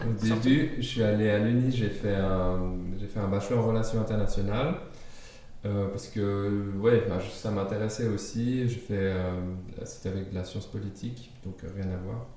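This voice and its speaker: Swiss-raised man in a home interview, low voice, halting delivery, heard from a distance in a reverberant room. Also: steady tone, mellow. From Switzerland. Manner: hesitant, many fillers and restarts. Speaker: furniture designer interviewed at home. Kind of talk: casual interview. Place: his apartment.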